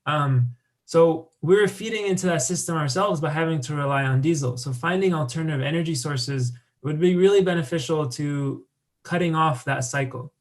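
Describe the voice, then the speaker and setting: A man's voice: a little high, normal speed. A young American man giving a conference talk about his work, speaking loosely with many fillers and restarts. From United States. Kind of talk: conference presentation with slides.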